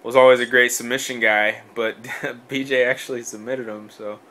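A man's voice: medium pitch, steady tone, moderate pace, sharp tone. A man co-hosting a podcast, talking in a rambling, casual way with many fillers, restarts and swearing. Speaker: podcast co-host. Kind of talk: casual sports chat.

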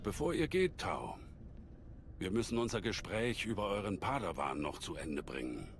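An actor playing a calm, wise old alien Jedi master in a video game, speaking in a measured, formal way. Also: male voice, low voice, slight background noise. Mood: grave, courteous. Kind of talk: video game cutscene acting.

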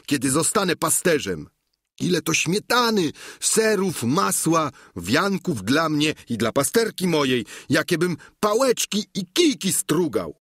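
A man's voice: fairly high pitch, fairly bright tone, slightly rough, no echo, studio quality, voice pushed up, exaggerated voice. A man voicing a chatty, simple middle-aged peasant squire who rambles through folk proverbs. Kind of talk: audiobook character reading.

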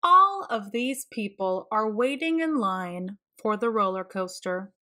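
A woman reading a children's storybook aloud, expressively and with deliberate emphasis for young listeners. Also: mid-range voice, animated, pushed and bright. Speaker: children's read-aloud narrator.

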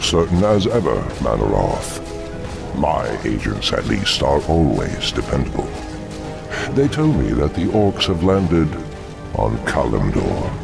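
A scheming demon in a game cutscene. His growl is extremely gravelly and he takes his time, smug and courtly, with music behind him. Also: huge pitch swings, exaggerated voice. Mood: smug, sly. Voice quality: extremely deep, growling rasp. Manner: slow, sardonic, courtly. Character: sly, smug male demon dreadlord schemer. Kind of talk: video game cutscene acting.

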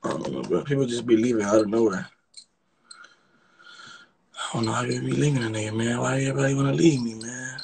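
Give me a young man chatting loosely on a phone livestream in a small bathroom, mid-pitched, faint background hiss. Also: a little fast, creaky, long pauses. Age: young adult. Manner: laid-back slangy, keeps repeating filler phrases. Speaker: livestream host. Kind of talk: casual livestream chat. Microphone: phone held at arm's length.

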